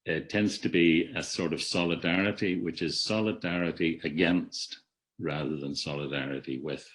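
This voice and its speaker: A man with a very low, deep voice lectures on theory in a webinar, heard over a video call. He speaks in a measured, reflective way, often restarting a phrase and letting his voice drop away at the ends of thoughts. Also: fairly even intonation, a bit bright. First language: not an Irish speaker. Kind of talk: academic talk.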